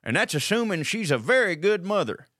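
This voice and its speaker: A man's voice: high voice, very animated, fairly quick, a bit bright, dry recording, studio quality, heavily acted. A man with a Southern US accent acting a folksy colonel detective who narrates a comic audio drama with wry asides. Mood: wry, dramatic. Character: folksy, digressive Southern colonel detective telling his tale.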